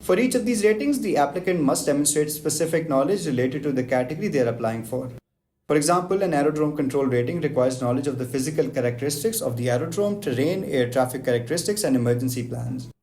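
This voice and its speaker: A man giving a scripted educational narration in a beat-the-clock format, reading technical lists in a brisk, even way. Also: on the high side, a little hiss or hum. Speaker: aviation explainer host.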